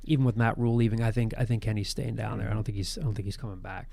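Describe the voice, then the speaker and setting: A man in his thirties hosting a casual sports podcast, hoarse from getting over an illness, telling rambling, self-deprecating stories. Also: fairly low pitch, level tone, rapid-fire, mellow. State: losing his voice after being sick. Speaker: podcast host.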